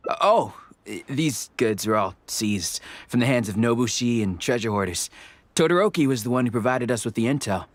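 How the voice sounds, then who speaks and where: An actor voicing a good-natured, teasing war veteran in a video game. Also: male voice, mid-range voice, lively intonation, normal speed, lightly acted. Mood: casual, explaining.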